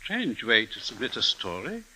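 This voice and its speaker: A man's voice: medium pitch, wildly swooping pitch, normal speed, bright, forceful tone, heavily acted. An actor playing a skeptical, authoritative male publisher in a 1950s radio drama. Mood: skeptical, curt. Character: gruff, skeptical male London publisher, the boss. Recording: noisy old radio recording.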